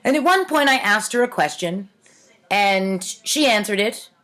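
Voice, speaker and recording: A woman's voice: mid-range voice, lively intonation, a little slow, a bit bright. A woman reading stories aloud to viewers, theatrical in the reading with wry, casual, offhand commentary. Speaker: host reading aloud childhood writing.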